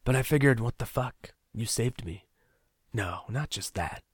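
A man's voice: medium pitch, expressive, measured pace, husky, dry recording, studio quality, pitched above the performer's own voice. A man voicing a desperate young male captor in an audiobook, swinging from harsh taunts to pleading whispers. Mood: wistful. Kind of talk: audiobook character voice.

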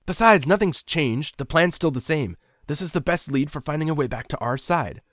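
A man's voice: a little high, animated, normal speed, fairly bright tone, no room sound, studio quality, voice pushed up. A man voicing a stubborn, desperate young man. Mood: urgent, insistent. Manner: pushing, insistent.

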